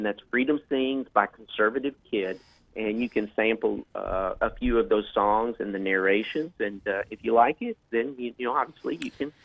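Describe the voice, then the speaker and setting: Casual talk show guest on the phone, man, halting delivery with lots of fillers, thin phone audio. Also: fairly low pitch, no room sound. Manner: hesitant, many fillers and restarts. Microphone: phone line. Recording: tinny phone line.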